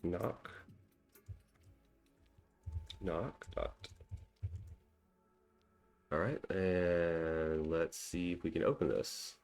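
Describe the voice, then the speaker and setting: A man's voice: deep voice, extremely slow, gravelly, long pauses, a little mellow. A man hosting a cybersecurity walkthrough, thinking aloud in a casual, self-deprecating way with frequent ums and small laughs. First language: English. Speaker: cybersecurity tutorial host.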